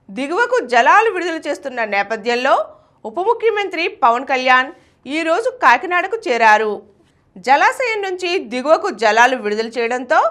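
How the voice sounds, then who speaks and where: A woman's voice: high voice, sharp tone. A woman news reader delivering a scripted bulletin in a formal, emphatic manner.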